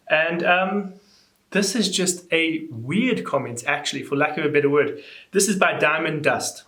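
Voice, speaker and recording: A young man reacts to viewer comments in a bright, animated, slightly disbelieving voice that climbs higher when he gets exasperated. His voice sits a bit above the usual male pitch, and it bounces around a roomy, echoing space. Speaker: YouTuber. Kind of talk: casual reaction vlog, reading comments aloud. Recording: echoey room.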